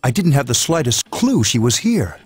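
A man's voice: mid-range voice, sing-song, normal speed, soft background music, lightly acted. A man playing a serious, composed teenage fighter in a video game, speaking firmly and matter-of-factly.

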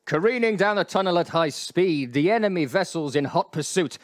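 A man's voice: a little high, animated, normal speed, dry recording, clean studio sound. Wry male radio-play narrator. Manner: wry asides.

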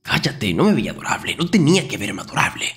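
A man acting a grumpy, jealous, protective young best friend in an intimate Spanish-style ASMR roleplay, breathy and often whispering, defensive and sarcastic. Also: medium pitch, sing-song, fast talker, a bit bright, soft background music, heavily acted. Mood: defensive, teasing.

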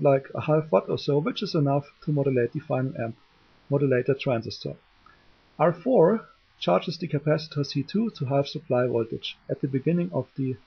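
Hobbyist tutorial presenter: man, husky and gravelly voice, lo-fi sound. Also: on the high side. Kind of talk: technical tutorial explanation.

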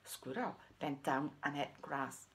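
A woman's voice: sits low, slow, gravelly, slight background noise. An older woman telling a story for young children as a puppeteer, recorded at home on a device. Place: small room at home.

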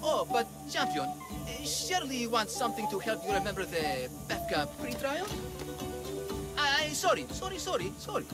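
A man's voice: extremely high pitch, sing-song, moderate pace, poor audio. An actor playing a nervous, scheming male trial organizer who stammers and wheedles like a salesman. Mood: wheedling. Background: game music.

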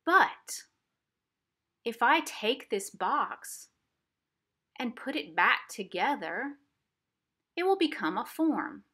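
A woman's voice: on the high side, very animated, slow, long pauses, sharp tone, slightly rough. A woman art instructor giving a simple, explanatory craft demonstration for children. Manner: simple, explanatory, addressing young viewers. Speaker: art instructor for a museum family program. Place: indoors at a craft table.

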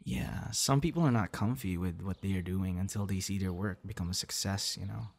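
A man playing a low, gravelly, friendly young guy. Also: brisk, a little mellow. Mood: impressed, friendly. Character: friendly, shy young male budding voice actor meeting stranger. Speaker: audio roleplay performer. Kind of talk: ASMR roleplay, casual one-sided conversation.

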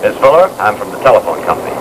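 An American actor playing a harried, polite telephone installer who speaks in a hesitant, placating, folksy way. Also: male voice, fairly low pitch, sing-song, very fast, very noisy. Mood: apologetic. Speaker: actor in a training film. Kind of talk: 1960s training film acting. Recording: old film soundtrack, music behind.

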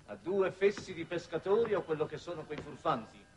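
An actor playing a scornful, impatient war minister from Earth in a 1960s Italian television drama, indignant in manner. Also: male voice, a little high, animated, a little fast, slight background noise, close to an everyday voice. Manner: indignant, contemptuous.

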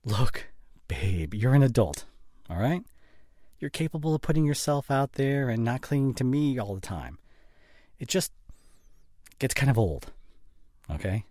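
A man acts out a young gamer boyfriend in an audio drama, forgetful and breezily dismissive. His speech is slangy and coaxing, drawn out at a slow pace. The pitch sits in a middle male register and swings expressively. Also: very animated, a little mellow, no echo, studio quality. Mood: impatient, dismissive. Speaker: voice actor. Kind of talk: boyfriend audio roleplay, naturalistic acting.

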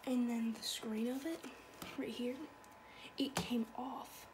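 A woman's voice: on the high side, level tone, slow pace. A woman chatting casually and rambling, repeating phrases as a video blogger browsing at a home computer. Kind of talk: casual chat while browsing online. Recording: noisy amateur home recording.

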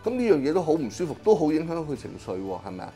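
Calm Hong Kong Cantonese male doctor. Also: fairly high pitch, soft background music. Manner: measured, pausing often with drawn-out fillers. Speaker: Chinese medicine practitioner. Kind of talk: explanatory interview talk. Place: small clinic consulting room.

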